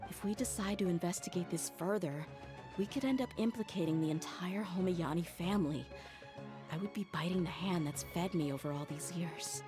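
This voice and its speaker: A woman voicing an earnest, loyal young female servant who speaks in a measured way, with soft game music behind. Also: fairly low pitch, natural voice. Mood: conflicted.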